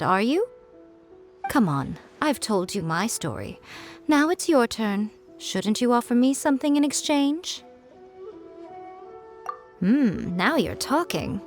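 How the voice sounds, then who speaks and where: A woman playing a self-assured, teasing fox spirit shrine maiden in a video game, with playful, coy and deliberate phrasing. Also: mid-range voice. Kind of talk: polished video game character acting. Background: music.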